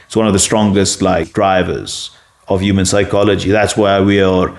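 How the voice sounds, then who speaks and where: Low-voiced South African man ranting. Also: flat delivery, normal speed. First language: Afrikaans. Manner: reads stiffly, then rambles loosely with many fillers and restarts. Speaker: political commentator. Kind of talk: reading a script, then ranting off the cuff.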